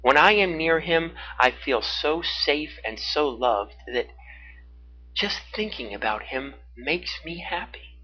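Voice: mid-range voice, lively intonation, takes it slowly, slightly rough, dull audio, voice pushed up. A narrator voicing a shy, tender little girl in a confiding storybook manner. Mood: giddy, adoring. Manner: confiding, girlish. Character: shy, tender little girl who adores her daddy.

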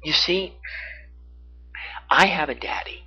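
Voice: medium pitch, slow, sharp tone, slightly rough, lo-fi sound, pitched above the performer's own voice, exaggerated voice. A narrator voicing a shy, tender little girl in a confiding storybook manner. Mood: giddy, adoring. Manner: confiding, girlish. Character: shy, tender little girl who adores her daddy.

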